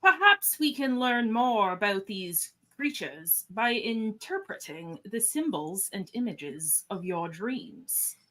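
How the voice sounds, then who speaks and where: A woman playing a composed, commanding starship captain in a live radio-theatre script reading, deliberate and authoritative. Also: medium pitch, big pitch swings, pushed and bright, exaggerated voice. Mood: measured, commanding.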